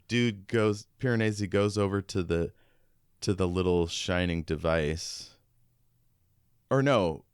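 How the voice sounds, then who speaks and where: Low, smooth-voiced man chatting about a book. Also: no room sound, clean studio sound. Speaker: podcast co-host. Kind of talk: casual book discussion.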